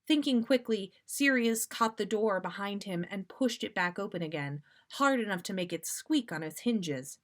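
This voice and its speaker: A woman reads aloud as a podcast host, giving the story an animated delivery. She leans on dramatic pauses and keeps a casual, conversational touch, with her voice at a middling pitch. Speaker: podcast host reading listener stories. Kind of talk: expressive fan fiction read-aloud with casual commentary.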